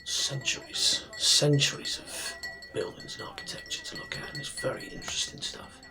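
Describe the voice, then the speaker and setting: A man playing a friendly, proud tavern barkeep in a fantasy ASMR roleplay, speaking softly and breathily, often near a whisper, in an unhurried, rambling way. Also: very high-pitched, huge pitch swings, exaggerated voice. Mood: gentle, chatty, proud. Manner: unhurried, chatty, rambling with pauses. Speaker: roleplay performer. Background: soft music and tavern ambience.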